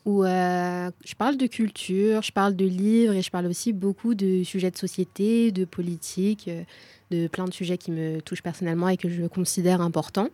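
A woman's voice: fairly low pitch, fairly even intonation, normal speed, dark tone, no echo. A woman in her twenties, a guest talking casually in conversation. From France. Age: 20s. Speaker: guest, social media content creator.

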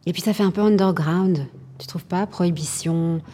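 An actress playing a brash, defiant jazz club owner in a naturalistic drama, talking colloquially with a sardonic edge. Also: female voice, on the low side, normal speed, mellow, breathy edge. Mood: musing, wry. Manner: colloquial, sardonic, musing aloud. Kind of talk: naturalistic drama monologue and dialogue.

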